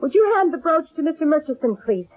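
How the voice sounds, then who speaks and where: A woman's voice: high-pitched, very animated, fast. An actress playing a stage mind reader, a woman, in an old-time radio drama. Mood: solemn, trance-like. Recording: muffled old radio broadcast.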